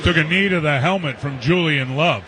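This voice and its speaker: A man's voice: a little high, moderate pace, crowd noise. An American man giving conversational, explanatory analysis as a color commentator on live football radio. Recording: radio feed with faint stadium noise.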